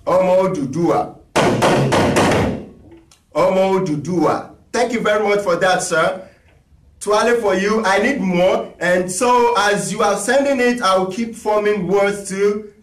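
A man's voice: high voice, a little slow, recorded from a distance. A man, teaching talking drum on a live stream in a chatty, encouraging way. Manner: chatty, encouraging, reads viewer requests aloud. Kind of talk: live-streamed music lesson. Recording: echoey room, voice from off the mic.

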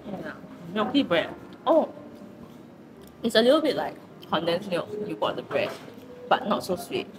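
A woman's voice: lowish voice, very animated, moderate pace, poor audio. A young woman with a Singaporean accent chatting casually for a food vlog, dropping in Singlish particles. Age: young adult. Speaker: vlogger. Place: busy cafe and restaurant indoors. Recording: busy crowd chatter behind voice.

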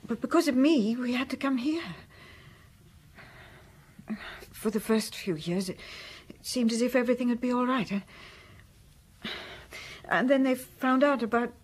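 An actress playing a timid, guilt-ridden schoolmaster's wife in a radio drama, hesitant and self-reproaching. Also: female voice, on the high side, moderate pace, slightly rough. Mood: guilty, distressed.

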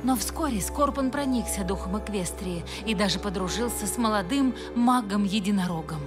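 A woman voicing a grave, regal pony ruler in a cartoon dub, measured and solemn. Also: mid-range voice, expressive, over music, natural voice. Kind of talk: animated cartoon dub acting.

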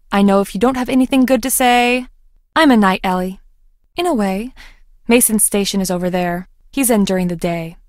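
A woman's voice: medium pitch, no room sound, studio quality, natural voice. A woman acting a young female research scientist in a video game, conversational, wry and guarded. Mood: casual, friendly.

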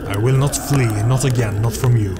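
A man's voice: medium pitch, normal speed, very noisy, natural voice. A man voicing a defiant fantasy warrior who declaims solemn incantations in an audiobook-style story. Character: defiant male fantasy warrior calling down fire.

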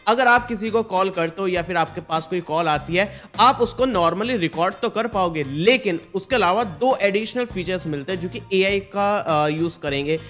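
A man's voice: high voice, moderate pace, few pauses, a bit bright, faint music behind. A man, giving a casual, conversational tech explainer to viewers as a video host, emphasizing points by repeating them. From India. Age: 20s. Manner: conversational, repetitive emphasis, addresses viewers informally. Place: small indoor studio. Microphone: desk microphone close in front.

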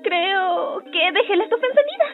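A woman voicing a naive, excitable eleven-year-old fighter girl in a cartoon fandub, with a Latin American Spanish accent. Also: very high voice, normal speed. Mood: timid, grateful. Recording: dull audio, loud music behind.

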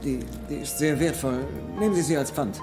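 A man's voice: fairly high pitch, a little fast, close to an everyday voice. Male actor as eager scholarly treasure hunter, film dub, polite, explanatory, music underneath. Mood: flustered, eager. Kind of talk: German film dub acting. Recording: film soundtrack with music behind.

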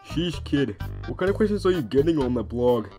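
A performer voicing a laid-back, joking male skeleton in a comic dub, with a relaxed drawl. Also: medium pitch, a little fast, faint music behind, close to an everyday voice.

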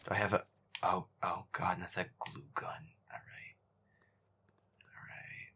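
Man acting a grumbling, breathy feisty boyfriend. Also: sits low, level tone, unhurried, pushed and bright, whispered. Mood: muttering, annoyed. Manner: grumbling, profane, exasperated, often muttering under breath. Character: feisty foul-mouthed werewolf boyfriend grudgingly playing scary game. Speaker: audio roleplay voice actor. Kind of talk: improvised-sounding gameplay audio roleplay.

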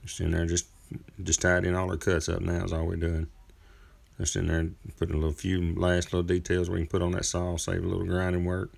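A man in his thirties with a deep, heavily gravelly voice talks through his carving work outdoors. He keeps a flat, even tone and rambles in a relaxed, folksy way, full of small fillers. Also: brisk. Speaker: chainsaw carver. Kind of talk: casual workshop narration. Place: outdoor driveway workspace.

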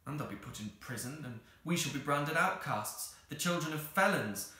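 An earnest young girl of the storybook kind, as a male narrator acts her out. The voice is lifted and lively, with breathless, theatrical urgency. It sounds bright and strongly echoing. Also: a little high, moderate pace, voice pushed up. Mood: anxious, gloomy. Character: earnest, dramatic young girl, an anxious older sister.

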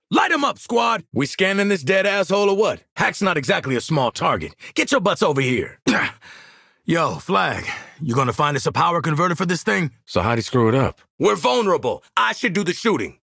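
Husky, cocky mercenary marksman. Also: on the high side, pushed and bright, no echo, studio quality, heavily acted. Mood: urgent, commanding. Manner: streetwise, sardonic, swearing, commanding. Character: cocky, wry, battle-hardened mercenary marksman in a squad. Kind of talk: video game voice lines.

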